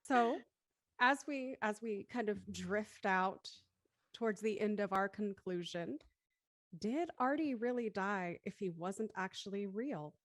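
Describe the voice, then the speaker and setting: A woman's voice: medium pitch, unhurried, dry recording, clean studio sound. A woman hosting a casual online after-show, talking informally and addressing guests and audience as host.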